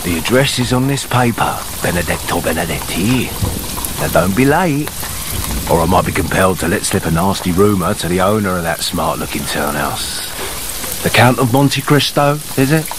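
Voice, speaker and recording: A sly ex-convict blackmailer, low and swinging in pitch, needling with mock friendliness. It is radio drama, set against loud rainy street noise. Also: male voice, lively intonation, moderate pace. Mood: taunting threat. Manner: taunting, falsely friendly.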